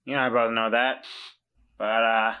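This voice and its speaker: A man's voice: mid-range voice, little pitch movement, moderate pace, smooth, phone-quality sound, close to an everyday voice. A man voicing a nervous but defiant weasel in a tabletop game, plain-spoken and blustering through his hesitation. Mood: shaky defiance.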